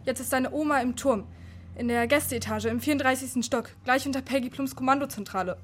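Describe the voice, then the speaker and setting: High-pitched, earnest young-sounding heroine played by an actress. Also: female voice, brisk, a little hiss or hum, close to an everyday voice. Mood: urgent, explaining. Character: earnest, urgent female friend bringing secret news. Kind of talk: children's radio play acting.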